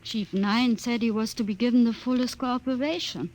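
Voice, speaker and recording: An actress playing a loyal young woman rebel who speaks briskly, in a 1950s radio drama. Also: fairly high pitch, a little mellow, lightly acted, low-quality recording. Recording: hissy old radio recording.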